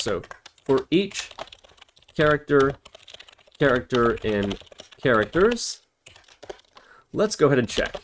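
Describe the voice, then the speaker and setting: A man giving a casual step-by-step programming tutorial, thinking aloud and correcting himself as he types. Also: on the high side. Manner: thinks aloud while typing, self-correcting. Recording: noisy, with keyboard typing and clicks. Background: computer keyboard typing, clicking, background noise.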